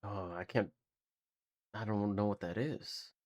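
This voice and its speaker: A man's voice: on the low side, a little slow, darkish tone, a little hiss or hum. A man hosting a reaction video, commenting in an informal, musing way. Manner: informal, musing, mild swearing.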